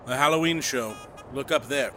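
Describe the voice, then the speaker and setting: An actor playing a brash, excitable, stubborn radio director in an audio drama, speaking with grand theatrical emphasis. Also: male voice, medium pitch, normal speed, soft background music, natural voice. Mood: excited, visionary. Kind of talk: audio drama acting set in 1930s radio world.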